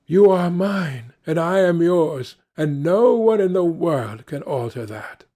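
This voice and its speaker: A man's voice: a little high, lively intonation, slow, warm tone, pitched above the performer's own voice. Fairy-tale king read by a man: emphatic, declarative, widely varied intonation. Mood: tender, resolute. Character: storybook king, adult man, accusing yet warm-hearted.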